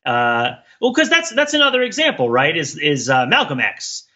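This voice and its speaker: Male podcast co-host with a bright, forward voice, digressive casual delivery, slightly dull audio. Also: a little high, huge pitch swings, moderate pace. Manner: rambling, digressive. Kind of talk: casual pop-culture discussion.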